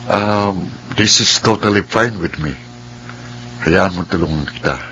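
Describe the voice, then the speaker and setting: An actor playing an earnest, devoted young suitor in a Filipino radio drama, coaxing and tender, with a Filipino accent. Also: male voice, low-pitched, a little slow, noisy background. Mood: caring, coaxing. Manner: earnest, coaxing, mixes English into Tagalog.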